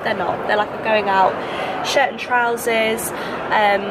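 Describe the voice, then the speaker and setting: A woman's voice: on the high side, fairly even intonation, unhurried, very noisy. A woman, chatting to camera in a rambling, self-correcting way, her voice nasal and congested from a cold. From England. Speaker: vlogger. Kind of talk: casual chat to camera. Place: apartment room by a window. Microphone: phone held at arm's length.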